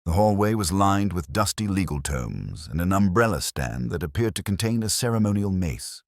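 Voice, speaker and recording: A man's voice: low-pitched, big pitch swings, moderate pace, no room sound, studio quality. A man reading a detective mystery story as scripted narration. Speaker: narrator and reader.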